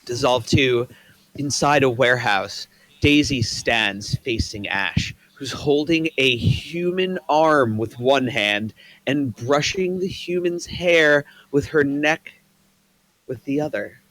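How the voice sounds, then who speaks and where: A man reading the stage directions aloud at a table read in a plain, even way. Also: mid-range voice, takes it slowly, no room sound, poor audio.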